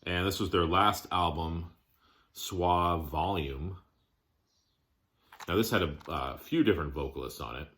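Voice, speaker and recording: A man giving a casual show-and-tell of his collection, plainspoken, listing items in short, halting phrases. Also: low voice, fairly bright tone.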